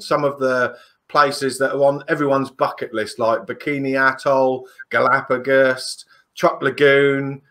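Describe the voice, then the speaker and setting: A man's voice: mid-range voice, fairly bright tone. A man hosting a podcast on a video call, giving a joking, friendly introduction to his guest. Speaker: podcast host. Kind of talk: casual interview.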